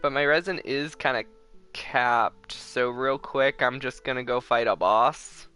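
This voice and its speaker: A man chatting casually and excitably to viewers as the host of a gaming livestream. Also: medium pitch, a little slow, faint music behind.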